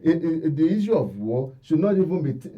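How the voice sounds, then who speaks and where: A man's voice: on the high side, very animated, fairly quick, recorded from a distance. A Nigerian man speaking as a guest commentator in a radio discussion, emphatic and repeating phrases for stress. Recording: dull, lo-fi radio audio.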